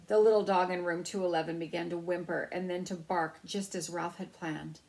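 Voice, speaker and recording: A woman's voice: lowish voice, steady tone, brisk, vocal fry, a little mellow, poor audio. A woman storyteller reading a children's novel aloud.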